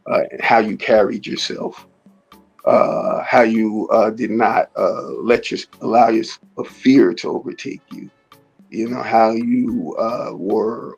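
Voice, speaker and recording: Raspy-voiced man, halting and thoughtful. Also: mid-range voice, highs cut off. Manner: halting, many fillers and restarts. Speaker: martial arts teacher, interview guest. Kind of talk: casual conversational interview.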